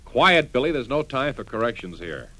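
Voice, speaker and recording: Bossy, sharp-voiced radio serial boy hero. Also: mid-range voice, very animated, normal speed, heavily acted. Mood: bossy, impatient. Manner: clipped, curt, officious. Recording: noisy old radio recording.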